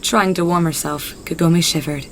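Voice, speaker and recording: A woman's voice: fairly low pitch, fairly even intonation, moderate pace, a little hiss or hum, poor audio. A woman narrator reading a scripted story in the third person.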